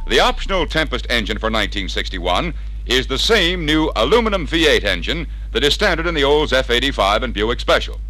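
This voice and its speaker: American guy doing old 1960s sales film narration, bright punchy voice, really lively pitch, persuasive. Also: fairly quick, soft background music. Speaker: narrator of a car dealer training film. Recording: vintage 1961 training record sound.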